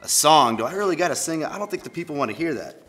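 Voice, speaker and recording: A man's voice: on the high side, animated, racing, a bit bright. A young adult American man reading aloud for children's story time, with theatrical mock exasperation and reluctance, over music. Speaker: baseball team presenter. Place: indoor studio with plain backdrop.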